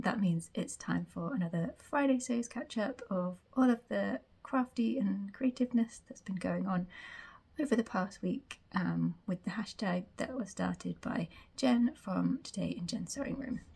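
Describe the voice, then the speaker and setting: Woman, casual vlogger, croaky and gravelly, raspy edge, chatty rambling delivery. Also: mid-range voice, a little mellow. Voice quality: croaky morning voice. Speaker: sewing vlog host. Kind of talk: casual chat to camera.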